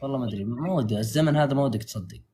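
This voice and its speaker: A man's voice: medium pitch, rapid-fire, slight background noise. A man from Saudi Arabia with a Saudi accent, bantering casually and jokingly on a livestream. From Tabuk, Saudi Arabia. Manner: joking, animated.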